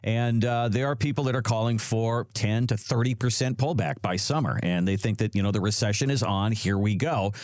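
A man's voice: fairly low pitch, fairly quick, hardly any pauses, darkish tone, no room sound, clean studio sound. A man hosting a retirement radio show, friendly and chatty, with many small fillers. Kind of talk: radio finance talk.

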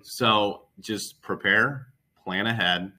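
Guy with a low but really sharp forceful voice, recruiter giving interview tips, repeats himself for emphasis. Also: slow. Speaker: recruiter and podcast guest. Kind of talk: conversational interview advice.

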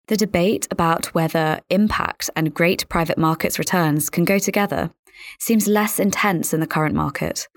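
A woman speaking as a podcast host, measured and articulate, in a presenting and interviewing style. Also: sits low, no room sound, clean studio sound. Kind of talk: scripted podcast narration and interviewing.

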